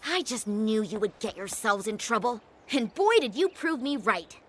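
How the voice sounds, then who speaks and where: A woman's voice: fairly high pitch, animated, moderate pace, a bit bright, a little hiss or hum. A woman voicing a bossy, sassy catgirl innkeeper in a video game, with a teasing, wry manner. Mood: exasperated. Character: sassy, bossy female innkeeper catgirl, older than she looks. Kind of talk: animated video game cutscene acting.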